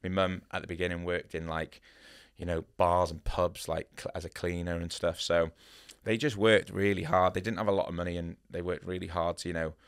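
A man's voice: low-pitched, flat delivery, a little fast, few pauses, clear, resonant tone. A man from North Wales, a tattooist, chatting as a podcast guest in a studio, upbeat and rambling with lots of "you know" and "yeah". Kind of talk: casual interview chat. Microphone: studio boom mic.

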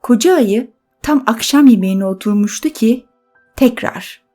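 A woman narrating a scripted children's bedtime story expressively, with dramatic pauses. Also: a little high, animated, warm tone, faint music behind.

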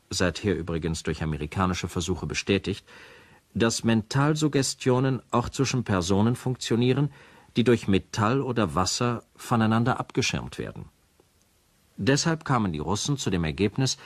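Narrator: sober, factual man for crime radio play, lowish pitch, dry sound, formal documentary delivery. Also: dry recording. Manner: matter-of-fact, formal. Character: sober male narrator presenting a recorded conversation as evidence.

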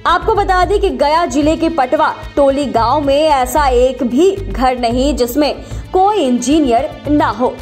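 A woman's voice: sits high, measured pace, darkish tone. A woman reading a scripted news feature as a voice-over narrator. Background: background music.

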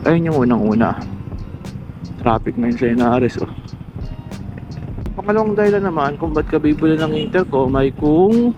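A Filipino man giving a casual explainer vlog, talking colloquially and directly to the viewer. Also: sits high. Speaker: motovlogger. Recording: muffled lo-fi mic, music underneath.

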